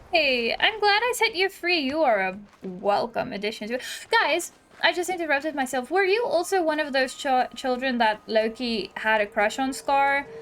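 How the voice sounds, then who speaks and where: A woman with a high voice hosts a let's-play in a chatty, playful way. She talks casually and exclaims as she goes, with light music behind her. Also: fairly bright tone. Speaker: gaming video host. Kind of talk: casual let's-play commentary, reading game dialogue aloud.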